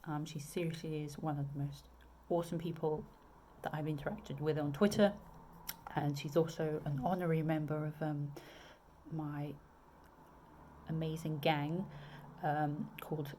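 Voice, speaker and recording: Low-voiced southern English woman, chatty. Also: fairly even intonation, normal speed, pauses often, dark tone, a little hiss or hum. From England. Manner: chatty, many ums and restarts. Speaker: romance author. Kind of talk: casual video chat about her books.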